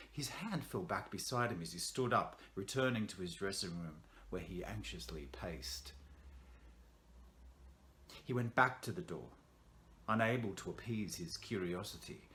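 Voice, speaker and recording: A man reading a scripted horror story in a theatrical, suspenseful way with dramatic pauses, as an actor would. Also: fairly low pitch, a bit bright.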